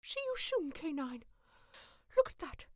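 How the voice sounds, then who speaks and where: A woman playing a determined, affectionate, resourceful adventurer in an audio drama, expressive and commanding. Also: high voice, unhurried. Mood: resolute. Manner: expressive, thinking aloud, commanding.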